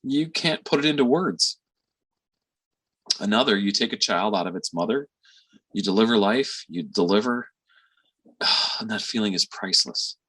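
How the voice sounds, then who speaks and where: An actor playing a weary, tender middle-aged father and physician in a reflective, halting stage monologue. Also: fairly low pitch, animated, a bit bright, breathy edge. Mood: tender, earnest. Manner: reflective, halting with sighs and pauses.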